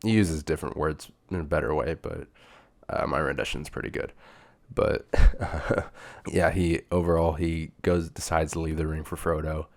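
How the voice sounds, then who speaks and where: A man's voice: a bass voice, steady tone, moderate pace, creaky. A young man chatting casually through a book review, his voice a bit off as he recovers from being sick. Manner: chatty, informal slang, frequent fillers. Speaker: booktube reviewer.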